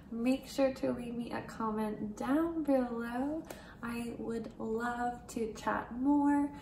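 A young woman hosting an English-learning channel, speaking slowly and clearly to her viewers from home. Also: a little high, darkish tone, roomy sound, recorded from a distance, slight background noise. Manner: slow, clear, friendly address to learners. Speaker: host, English teacher. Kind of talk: video outro to viewers. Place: home room with plants and shelves.

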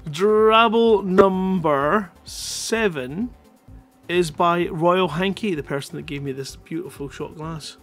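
A man in his thirties hosting a live show on a headset mic, reading short stories with a drawn-out dramatic delivery between bits of banter. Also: high voice, fairly bright tone. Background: music in the background.

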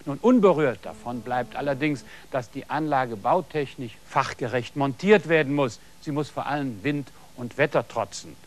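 A middle-aged man presents a TV hobby programme from a studio garden set. He talks straight to the viewers in a didactic, explanatory way. His voice sits at an ordinary pitch and is picked up by a lapel mic. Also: lively intonation, moderate pace, few pauses. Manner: didactic, addresses viewers directly. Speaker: TV host.

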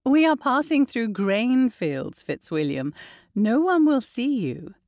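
A woman reading an audiobook, voicing a spirited young bride with a lively, playful manner. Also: fairly high pitch, slow pace, no room sound, clean studio sound, voice pushed up, full character voice. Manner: lively, playful, indignant at times.

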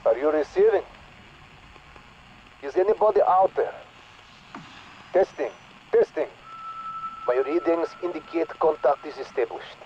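An actor playing an earnest male Russian scientist speaking over a radio, with a Russian accent and a slow, measured manner. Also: on the high side, animated, darkish tone, lo-fi sound, slight background noise. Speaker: in-game radio character. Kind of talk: recorded game dialogue.

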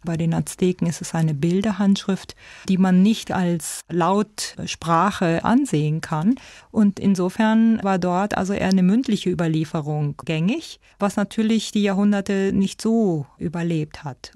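A woman's voice: fairly low pitch, measured pace, dark tone, no echo, studio quality. A woman speaking as an expert in a spontaneous, explanatory interview. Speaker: expert interviewee, ethnologist and museum director.

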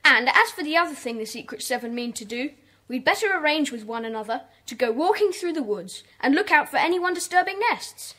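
A woman's voice: high-pitched, expressive, a little fast, sharp tone. A woman playing a sensible, bossy schoolboy club leader in a children's audio drama, decisive and orderly.

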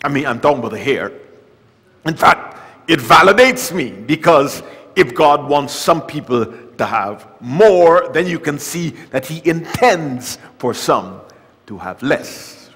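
Older male preacher, slightly high voice, wry humor, booming scripture reading and quiet asides; church hall with long echo. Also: very animated, measured pace, slightly rough. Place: church sanctuary at a lectern. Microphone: lectern mic, far camera.

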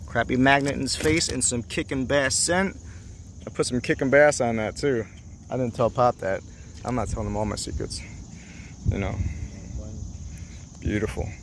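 A man narrating his fishing trip from a small boat on a lake, chatty and excited, talking straight to the camera. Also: medium pitch, normal speed, long pauses. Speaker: fishing vlogger. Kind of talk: casual narration while fishing. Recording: faint outdoor noise.

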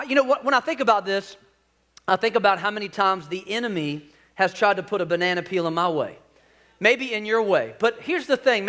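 Man, 40s, senior pastor. High-pitched, folksy, joking sermon delivery from a church stage. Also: a bit bright. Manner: folksy and joking, talks to the crowd. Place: large church stage with screens.

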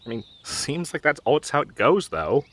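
A young man playing a dorky, nervous, protective gamer best friend in an audio roleplay, with halting, awkward delivery. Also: a little high, very animated, a bit bright. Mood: uneasy. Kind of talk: audio roleplay drama, conversational.

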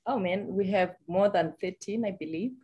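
A woman's voice: mid-range voice, normal speed, slightly rough. A woman in her thirties from South Africa's Eastern Cape, a native Xhosa speaker with a South African accent, talking as a guest on a video call and often tagging "right?" onto her points. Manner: warm, emphatic, often tags "right?". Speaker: guest, family physician. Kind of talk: casual interview conversation. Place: home office with patterned wall.

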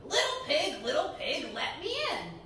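A woman voicing a menacing, hungry male wolf in a storybook, with loud, drawn-out, singsong lines. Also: high-pitched, big pitch swings, full character voice. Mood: coaxing. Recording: echoey room, distant mic, background noise.

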